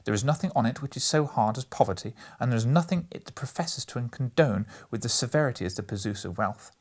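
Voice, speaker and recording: A proud young man in a period storybook, voiced by a male reader. He sounds defensive and impatient, pushing his husky voice louder as he argues. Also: medium pitch, lively intonation, a little fast. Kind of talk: dramatic audiobook character reading.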